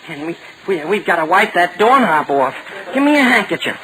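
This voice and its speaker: A man's voice: high-pitched, big pitch swings, normal speed. A man acting an eager, awkward, over-polite teenage boy in a 1940s radio comedy. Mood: worried. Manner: earnest, over-polite, evasive. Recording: noisy vintage 1940 radio broadcast.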